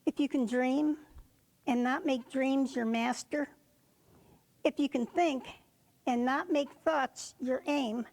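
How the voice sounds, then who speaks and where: Woman, high voice, creaky edge, poetry recital, deliberate phrases with pauses. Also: fairly even intonation, a little mellow. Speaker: poetry reader. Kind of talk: reciting a poem for reader's theater.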